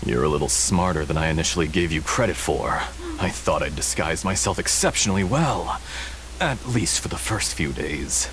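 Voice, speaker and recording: An actor playing a sinister scientist villain in a video game, smug, mocking and condescending in a theatrical way. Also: male voice, low voice, expressive, normal speed, background noise. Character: suave, sinister male villain scientist, smugly taunting in disguise. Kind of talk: scripted video game voice acting.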